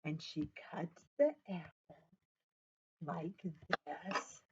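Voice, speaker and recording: An older woman who works as a children's librarian, reading a story aloud in an animated, sing-song way, recorded on a webcam in a small office. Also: low-pitched, very slow, a little hiss or hum. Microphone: webcam at desk. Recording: dull webcam audio.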